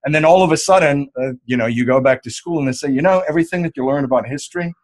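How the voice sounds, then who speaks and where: South African man, 30s, relaxed interview guest, meandering answers full of fillers. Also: fairly high pitch, rapid-fire. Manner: rambling, many fillers and restarts. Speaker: podcast host and wealth strategist guest.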